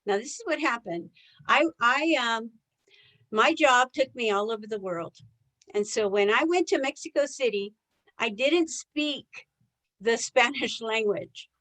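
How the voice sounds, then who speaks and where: A woman's voice: fairly high pitch, animated, a little slow, a bit bright, rough recording. A woman presenting a live online sales training as a trainer, a native English speaker who often puts questions to her listeners. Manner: engages listeners with rhetorical questions.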